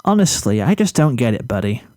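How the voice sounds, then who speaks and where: A man's voice: medium pitch, sing-song, a little fast, darkish tone, dry recording, studio quality, close to an everyday voice. A man voicing a cocky, oblivious teenage boy, whiny and smug, in a story reading. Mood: baffled self-pity. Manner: smug, complaining.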